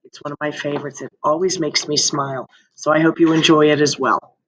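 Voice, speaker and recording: A woman reading a picture book aloud like a teacher, speaking deliberately to young listeners. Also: low-pitched, level tone, poor audio.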